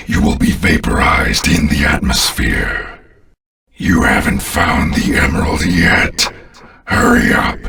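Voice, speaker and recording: A man playing a menacing, imperious alien warlord in a video game, with a deep rasp and a pompous, commanding, deliberate delivery. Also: pushed and bright, recorded from a distance, heavily acted. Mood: impatient, scolding. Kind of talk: video game villain voice acting.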